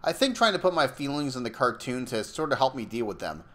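Troubled young man voiced by a male actor, exasperated and confessional, very expressive pitch, dark comedy cartoon. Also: fast talker. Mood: weary confiding. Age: young adult. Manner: wry, hesitant, confessional. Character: anxious, exasperated young man with an inner demon. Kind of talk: animated dark comedy narration and dialogue.

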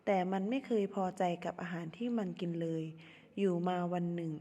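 A woman's voice: lowish voice, brisk, warm tone. A young woman student narrating a children's story in short, simple phrases, in a reverberant hall. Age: young adult.

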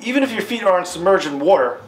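A man acts out an upbeat, authoritative army captain in a retro training film. His American voice sits a bit high and is formal and didactic, with guitar music playing behind it. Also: animated, fast, rough recording. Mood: instructive, assured. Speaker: instructor in a military training film. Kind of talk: scripted retro instructional film delivery. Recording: music under the voice. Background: background guitar music.

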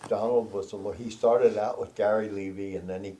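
A man's voice: on the low side, steady tone, fairly quick, mellow, slight background noise. A man in his eighties, a retired judge, telling rambling stories in an oral history interview and acting out the quotes. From United States. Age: 80s. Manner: rambling, anecdotal, acts out quotes. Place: formal office with flags, seated on sofa.

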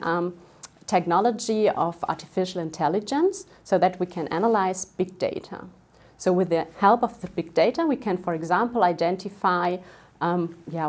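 A woman's voice: lowish voice, a little mellow. A woman in her thirties explaining a product in a friendly way with some hesitation fillers, recorded on a lapel mic in an office. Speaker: department head. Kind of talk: interview answers. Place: modern office.

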